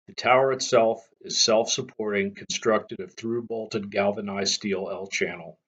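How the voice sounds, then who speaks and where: A man giving a measured, matter-of-fact technical narration as the host of a hobbyist channel. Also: fairly low pitch, fairly even intonation, fairly bright tone, husky, lo-fi sound. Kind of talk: explanatory scripted narration.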